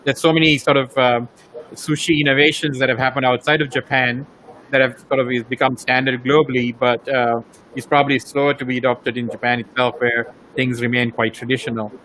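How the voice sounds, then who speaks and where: A man's voice: medium pitch, little pitch movement, brisk, fairly bright tone, slight background noise, poor audio. A man, originally from Sri Lanka, interviewing a guest in a casual, rambling way full of fillers and repeated words.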